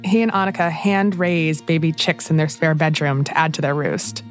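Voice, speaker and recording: A woman hosting a podcast, calmly narrating a scripted story. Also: deep voice, normal speed, faint music behind. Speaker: podcast host.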